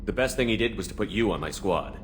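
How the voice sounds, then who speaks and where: A man playing an earnest, steady starship commander in a video game, speaking in a direct, businesslike way. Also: on the low side, quick pace, background noise, lightly acted. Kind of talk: video game character acting.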